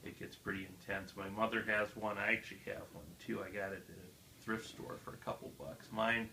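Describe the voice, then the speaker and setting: A man's voice: on the low side, level tone, a little fast, creaky, sharp tone. A man chatting casually as a guest, explaining some history in an informal way. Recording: roomy echo with background noise.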